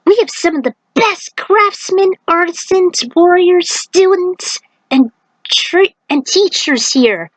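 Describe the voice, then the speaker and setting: Woman voicing a high-pitched, eager young monk. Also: animated, slow, no room sound, heavily acted, rough recording. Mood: enthusiastic. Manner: eager, proud, emphatic. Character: enthusiastic, proud young male martial arts monk.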